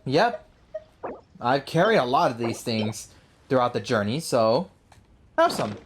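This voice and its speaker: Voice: medium pitch, big pitch swings, a little slow, noisy background. A gamer giving casual, playful commentary and answering the game's dialogue in an informal way. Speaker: gaming video host. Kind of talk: casual game commentary, voicing own replies to dialogue.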